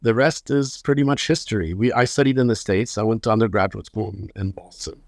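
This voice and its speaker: A man in his fifties from Lebanon, a guest telling his story in an interview, unhurried and often repeating words as he searches for the next phrase. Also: on the low side, gravelly, no room sound, clean studio sound. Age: 50s. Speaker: guest, hospital chairman.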